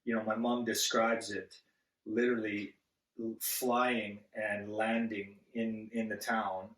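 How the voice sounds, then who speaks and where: A man born in Zambia, a guest on an interview, speaking earnestly and haltingly with many restarts. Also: fairly low pitch, little pitch movement, a bit bright, recorded from a distance. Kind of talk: casual interview. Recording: echoey room, set back from the mic.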